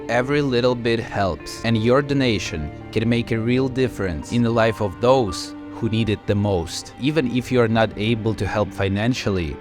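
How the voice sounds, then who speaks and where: A man from Ukraine, narrating a travel and food vlog in a relaxed, storytelling voice-over. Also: fairly low pitch. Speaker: food vlogger. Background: background music.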